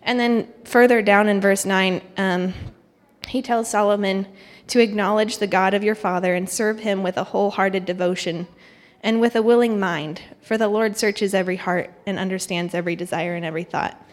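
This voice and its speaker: A woman's voice: mid-range voice, fairly even intonation, moderate pace. Young woman, guest speaker at church, conversational devotional delivery, mid-range voice, long hall reverb. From Thailand. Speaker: guest speaker, missionary candidate. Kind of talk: informal church testimony and devotional.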